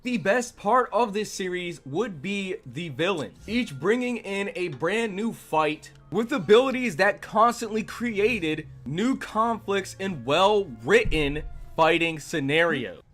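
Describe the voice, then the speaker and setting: A man's voice: high-pitched, expressive, takes it slowly, fairly bright tone. A man narrating a scripted manga review in the style of a video essay. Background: music.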